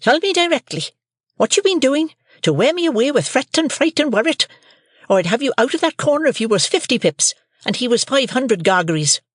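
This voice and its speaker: A shrill, scolding woman lashes out in a high, loud, nagging voice, as a male audiobook reader puts her on. The sound is dry and close, with no room around it. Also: big pitch swings, brisk, no echo, heavily acted. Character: shrill scolding sister, harsh tempered woman.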